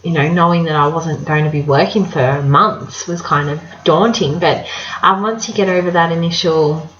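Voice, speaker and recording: A woman's voice: low voice, moderate pace, echoey room, dull audio, a little hiss or hum. A young woman in her twenties, a vlogger chatting casually to camera and giving advice, with many ums and a slightly nasal sound from a cold. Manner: chatty, warm-hearted advice with many fillers.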